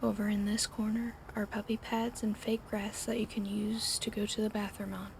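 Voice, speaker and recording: A woman whispering an ASMR roleplay in a soft, soothing, coddling way over an audible fan hum. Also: medium pitch, level tone, moderate pace, vocal fry.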